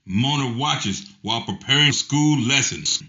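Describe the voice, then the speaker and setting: A man reading scripted narration in an even, announcer-like way. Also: mid-range voice, measured pace. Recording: dull, lo-fi sound.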